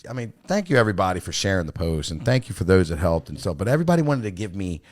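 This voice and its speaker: A man's voice: deep voice, big pitch swings, rapid-fire, dry recording, studio quality. A man hosting a podcast, chatting casually and swearing often, with lots of "you know what I mean". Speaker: podcast host. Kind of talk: casual profane chat. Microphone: studio mic.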